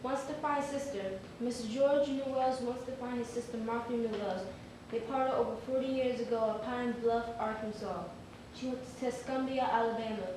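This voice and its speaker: A woman's voice: on the high side, fairly even intonation, moderate pace. A woman giving a dramatic reading of a notice. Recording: very echoey hall, distant, noisy.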